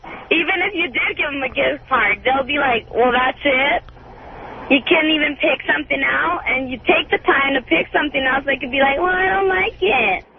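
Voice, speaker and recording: A woman's voice: high voice, normal speed. A woman calling a radio show, venting casually in an exasperated, sarcastic, colloquial way. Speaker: radio call-in guest. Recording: dull phone line, clearly noisy background.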